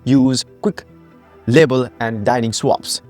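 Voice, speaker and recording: A man's voice: mid-range voice, steady tone, slow, darkish tone, soft background music. A man from Nepal, founder and host of a health channel, giving a scripted educational narration with emphatic rhetorical questions.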